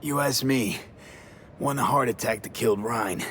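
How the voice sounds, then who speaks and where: A man's voice: medium pitch, moderate pace, a bit bright, slight background noise, natural voice. A man playing a jaded, street-smart mercenary in a video game, blunt and laconic. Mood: matter-of-fact. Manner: laconic, blunt, short sentences.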